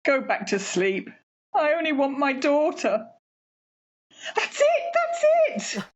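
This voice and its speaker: A woman's voice: high voice, wildly swooping pitch, slow, full character voice. Muffled, wildly swooping grief-maddened mother acted by a woman. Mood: desolate, then frantic. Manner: intense, mournful, insistent. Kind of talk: amateur radio play acting.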